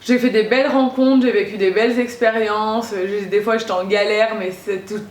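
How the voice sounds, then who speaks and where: A woman's voice: medium pitch, fairly even intonation, moderate pace. Young woman vlogger, casual storytime, lots of fillers, echoey room. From Corsica. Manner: chatty, lots of fillers and slang. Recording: roomy echo.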